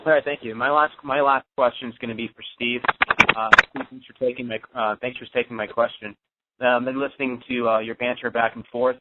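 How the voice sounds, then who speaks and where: A man's voice: medium pitch, steady tone, a little fast, background noise. Polite, hesitant man on phone line. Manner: hesitant, courteous. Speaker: boxing reporter. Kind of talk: polite press questioning. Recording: muffled narrow phone line.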